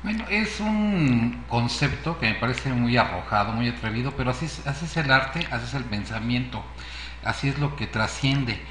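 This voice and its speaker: A man's voice: medium pitch, expressive, moderate pace, a bit bright, reverberant, slight background noise. A man from Yucatán with a Mexican accent, hosting and reflecting on art while he searches for words. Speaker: sociologist, sculptor and interviewer. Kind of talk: interview talk.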